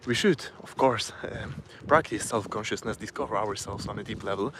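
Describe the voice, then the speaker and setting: A man with a Polish accent, a native Polish speaker, giving a casual live-stream talk from his phone, often pausing and restarting as he searches for words. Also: lowish voice, noisy background. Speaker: self-improvement vlogger.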